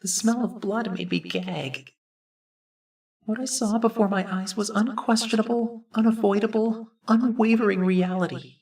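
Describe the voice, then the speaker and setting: A woman's voice: medium pitch, steady tone, moderate pace, vocal fry, darkish tone, recorded from a distance, natural voice. A woman voicing an earnest, uneasy teenage boy student in a pensive, hesitant inner monologue for an anime game fan dub. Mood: uneasy, tense.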